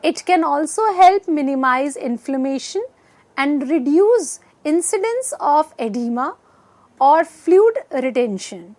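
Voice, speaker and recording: High-pitched Indian woman lecturing slowly. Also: animated, a little mellow, no echo. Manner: halting, reading out in short phrases. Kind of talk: scripted educational lecture. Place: indoor studio. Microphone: lapel mic.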